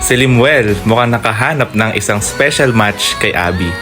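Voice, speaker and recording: A man's voice: fairly low pitch, moderate pace, over music. A man narrating a scripted radio drama as its host, speaking to listeners directly in a teasing way.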